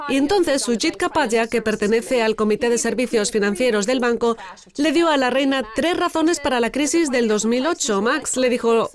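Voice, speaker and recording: A woman co-hosting a financial news show, telling news items in a brisk, wry way. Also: fairly high pitch. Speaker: co-host. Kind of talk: dubbed financial news commentary.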